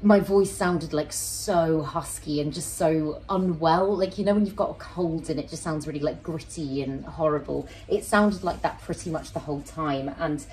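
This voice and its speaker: A woman in her thirties with a deep voice, chatting casually and rambling to camera as a plant channel host while she repots a plant in her small home kitchen. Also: moderate pace, hardly any pauses, a little mellow, poor audio. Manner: chatty, rambling, self-interrupting; handling soil and roots while talking to camera. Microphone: fixed camera at medium distance.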